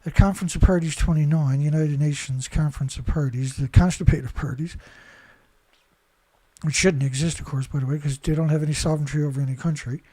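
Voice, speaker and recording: A man's voice: fairly high pitch, steady tone, a little fast, dark tone, no echo, poor audio. Rambling indignant man, dry sound. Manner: rambling, indignant, many restarts and "right?" tags. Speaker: commentator reading news. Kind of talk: casual monologue reading headlines with commentary.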